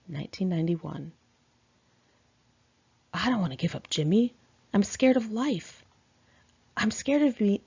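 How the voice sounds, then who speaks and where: Woman reading her diary memoir, chatty n sassy, husky mellow voice, kinda lo-fi homemade recording. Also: lowish voice. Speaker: author reading own memoir aloud. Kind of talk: conversational memoir narration.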